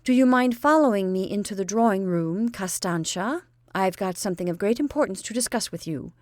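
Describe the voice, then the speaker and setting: A woman's voice: mid-range voice, expressive, dry recording, clean studio sound. A woman reading an audiobook voices a fussy, anxious middle-aged spinster, prim and careful in manner. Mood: lofty. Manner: prim, bright, slow and careful.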